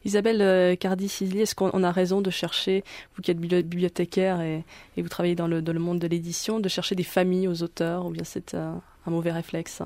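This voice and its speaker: A woman's voice: fairly low pitch, level tone, fairly quick, a little mellow, no echo. A woman interviewing an author on the radio, hesitant and full of fillers.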